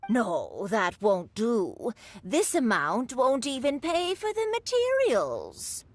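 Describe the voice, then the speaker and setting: A woman playing a kindly, gentle elderly toy seller in a video game, talking in an unhurried grandmotherly way. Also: a little high, huge pitch swings, slight background noise, exaggerated voice. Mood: hesitant, haggling. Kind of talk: video game character acting. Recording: game music behind the voice. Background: game background music.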